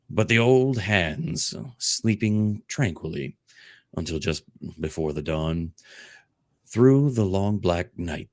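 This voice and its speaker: A man with a low, deep voice reads a story aloud at a slow, unhurried pace. He is the host of a comedic dramatic reading and has a jokey manner. The recording is close and dry. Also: no echo. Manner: jokey, breaking off for ad-libs and laughter. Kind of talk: comedic dramatic book reading with joking asides.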